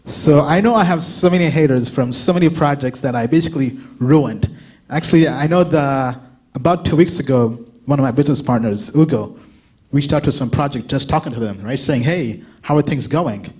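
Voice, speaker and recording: A young man giving a casual conference talk, often checking in with a quick "right?" and restarting his sentences. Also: mid-range voice, a little mellow, breathy edge. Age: young adult. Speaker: crypto analyst giving a talk.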